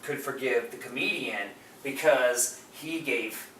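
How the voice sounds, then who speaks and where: Male podcast co-host, forceful bright tone, mid pitch, effusive film talk, picked up from across a room with faint noise. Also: takes it slowly. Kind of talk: casual film discussion. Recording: roomy, far from mic, faint noise.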